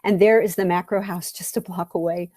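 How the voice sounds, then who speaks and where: A woman's voice: fairly low pitch, fairly even intonation, fairly quick, mellow, no room sound. A woman reading a first-person story theatrically and expressively, at times dropping toward a whisper. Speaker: author reading own memoir story.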